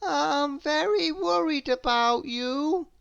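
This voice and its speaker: A woman's voice: high-pitched, gravelly, no echo, lo-fi sound, pitched above the performer's own voice, exaggerated voice. A woman voicing an anxious, fretful little storybook man who worries plaintively about everything. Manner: worried, plaintive, drawn-out delivery.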